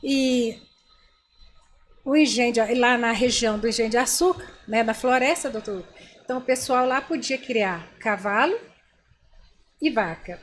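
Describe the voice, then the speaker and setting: A woman's voice: on the high side, slight background noise. An older woman from Brazil, a guest in an interview, telling stories from her past and speaking directly to the people she is talking with. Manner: animated, addresses listeners directly. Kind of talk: storytelling interview.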